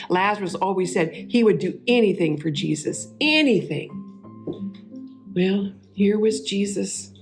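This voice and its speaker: Woman playing homespun biblical sister, Southern US accent, slow pace, folksy, wistful, dramatic monologue, music behind. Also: medium pitch, darkish tone, lightly acted. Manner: folksy, wistful, lingering over words. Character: warm homespun Martha of Bethany, sister of Lazarus.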